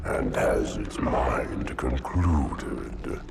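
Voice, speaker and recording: Ancient parasitic creature, abyssally low, heavily gravelled booming growl, solemn menace, video game cutscene. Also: male voice, expressive, exaggerated voice. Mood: ominous, grandiose. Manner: slow, solemn, theatrical declamation. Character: ancient monstrous parasitic hive-mind creature, grandiose and menacing. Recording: loud music behind.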